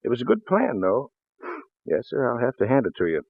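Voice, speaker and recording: An actor playing a folksy, shrewd small-town police lieutenant in a 1940s radio drama, hesitant and apologetic in manner. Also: male voice, mid-range voice, huge pitch swings, fairly quick, creaky, breathy edge, exaggerated voice. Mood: shrewd, regretful. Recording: muffled vintage radio recording, phone-like band.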